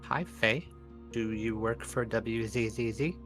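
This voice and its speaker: A man with a lowish voice plays a tabletop roleplaying game. He improvises casually and hesitantly, feeling his way through lines, with faint music underneath. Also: takes it slowly, fairly bright tone.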